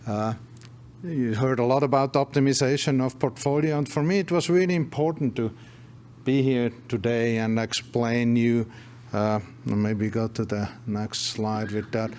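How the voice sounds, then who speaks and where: A man's voice: mid-range voice, steady tone, unhurried, a little mellow. A man with a voice giving earnest closing remarks at a company investor presentation, restarting his phrases often. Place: conference room.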